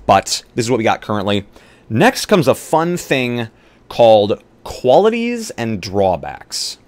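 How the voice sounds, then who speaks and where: A man's voice: medium pitch, very animated, unhurried. A man chatting casually on a live roleplaying stream as he talks through building a game character.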